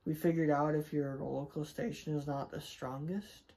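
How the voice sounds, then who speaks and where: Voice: mid-range voice, fairly even intonation, moderate pace, warm tone. A chatty co-host giving a casual, unscripted product review, speaking directly to viewers and a partner.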